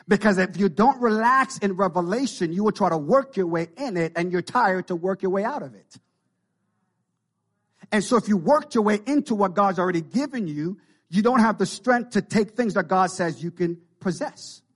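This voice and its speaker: A man with a Jamaican Canadian accent delivers a sermon, his voice pitched a little above the usual for men. He builds intensity as he goes, circling back to repeat key phrases for emphasis. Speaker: pastor.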